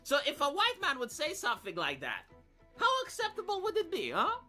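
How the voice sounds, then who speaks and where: A man with a bright, forceful voice delivers casual commentary over music. His pitch swings around a lot as he argues sardonically and fires off rhetorical questions. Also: huge pitch swings, fairly quick. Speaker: commentary streamer. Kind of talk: casual off-the-cuff reaction commentary. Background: music behind the voice.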